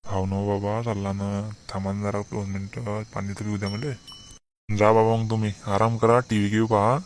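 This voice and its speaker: A man voices a weary cartoon villager, bickering in a low, flat voice. His accent is rural Maharashtrian, and there is faint noise behind him. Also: normal speed, lightly acted. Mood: weary nagging. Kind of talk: comic Marathi village cartoon dialogue.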